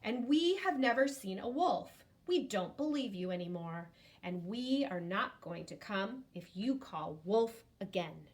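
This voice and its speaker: A woman's voice: on the low side, expressive, unhurried, few pauses. A woman voicing a group of annoyed village men who speak firmly and scold. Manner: firm, scolding.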